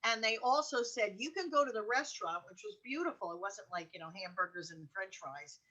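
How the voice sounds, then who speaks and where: A woman's voice: mid-range voice, animated, brisk, pushed and bright, rough recording. An American woman hosting a talk show, chatting casually and telling personal anecdotes in an exclamatory way.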